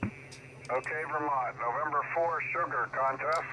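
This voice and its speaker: A man's voice: fairly high pitch, measured pace. A man heard through a radio receiver, giving clipped contest exchanges as an amateur operator. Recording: noisy crackly shortwave radio audio.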